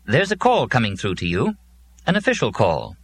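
A man's voice: medium pitch, wildly swooping pitch, moderate pace, pitched above the performer's own voice. Guy doing a gloomy robot butler for a scifi audiobook, ominous and formal, lots of swing in the voice, humming noisy background. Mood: formal, obliging. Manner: formal, ominous, matter-of-fact pronouncements. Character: dour, scornful, foreboding robot servant with gloomy conviction. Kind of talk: acted dialogue in a science fiction audiobook.

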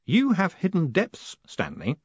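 Man acting a wry, exasperated boss, lively pitch. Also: a little slow, husky, no echo, lo-fi sound, heavily acted. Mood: weary, patient. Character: quick-witted, exasperated man in charge, wry. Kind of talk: audiobook character voice.

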